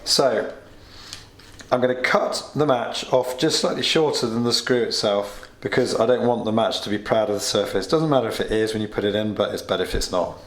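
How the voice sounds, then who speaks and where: Slightly hoarse British man, echoey room. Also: lowish voice, brisk, fairly bright tone, a little hiss or hum. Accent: British English. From United Kingdom. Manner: chatty, explains steps plainly with small asides. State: losing his voice, a bit hoarse. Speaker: DIY video host. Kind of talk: relaxed DIY tutorial. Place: home workshop or kitchen.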